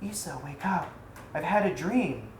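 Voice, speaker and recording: A woman's voice: fairly low pitch, lively intonation, a little slow, darkish tone. A woman voicing a devoted, practical freed slave woman in a storybook tale, speaking formally and persuasively. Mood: soft, tender. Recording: echoey room, faint background noise.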